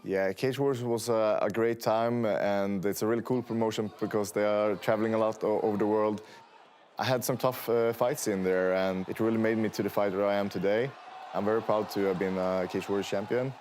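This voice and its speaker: Hesitant low-voiced Swedish man. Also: steady tone, brisk, darkish tone, slight background noise. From Sweden. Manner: hesitant, many fillers, plain speech. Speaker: fighter interviewee. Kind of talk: casual interview answers.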